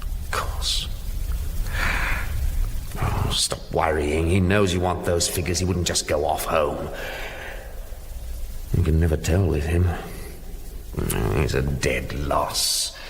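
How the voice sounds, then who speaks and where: A middle-aged British factory boss in a radio play. His voice is low, and he talks in clipped, grumbling mutters. There is some background noise under the voice. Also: fairly even intonation, slow, pauses often, exaggerated voice. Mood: irritated, uneasy. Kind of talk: radio drama acting.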